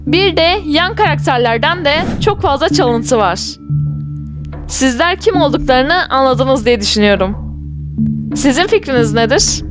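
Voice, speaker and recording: A woman's voice: high-pitched, lively intonation, a little slow. A woman reading an enthusiastic scripted video narration, speaking directly to viewers. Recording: voice-over with music underneath. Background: background music.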